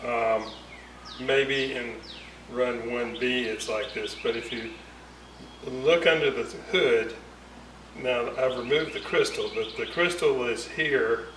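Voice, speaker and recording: A man giving a casual, unscripted technical explanation as a hobbyist restorer, thinking aloud hesitantly in broken phrases. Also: medium pitch. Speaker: hobbyist radio restorer presenting a repair series. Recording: roomy, distant mic, clear ambient noise.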